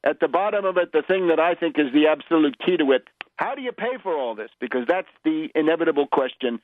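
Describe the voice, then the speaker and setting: A man's voice: fairly high pitch, animated, fast talker. A man hosting a conversational political radio interview, explaining things in a professorial way with rhetorical asides. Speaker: radio show host. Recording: muffled lo-fi radio audio.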